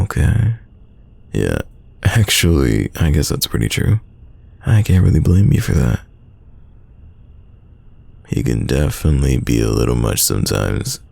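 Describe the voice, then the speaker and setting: Guy playing young dude hiding a crush, roleplay audio, super gravelly, pitch moves around a lot, teasing n casual. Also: warm tone, no room sound, full character voice. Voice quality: deep, gravelly. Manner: casual, conversational, halting, teasing. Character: young man, best friend's sibling, secretly smitten, teasing and guarded. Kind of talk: roleplay audio drama, intimate casual chat.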